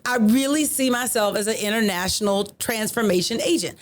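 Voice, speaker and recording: A woman's voice: a little high, fairly quick. A woman co-hosting a podcast, talking in an earnest, emphatic way and repeating her key phrases. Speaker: podcast co-host. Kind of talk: casual motivational chat.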